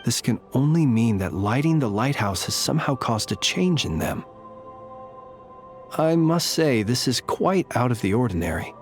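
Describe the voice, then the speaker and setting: A man voicing a calm, courtly lightkeeper in a video game, speaking in formal, measured, old-fashioned phrases. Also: mid-range voice, soft background music, close to an everyday voice. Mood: grave.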